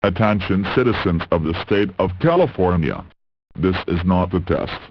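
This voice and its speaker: Male emergency announcer, low register, formal and measured delivery, dull lo-fi broadcast sound. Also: lively intonation, no echo. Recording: muffled lo-fi radio broadcast sound.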